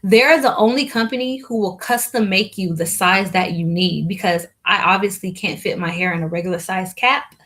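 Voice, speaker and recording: A woman's voice: mid-range voice, normal speed, few pauses, a bit bright. A young woman chatting casually to viewers on a live stream, rambling with lots of fillers and restarts. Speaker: hair vlogger hosting a live Q&A.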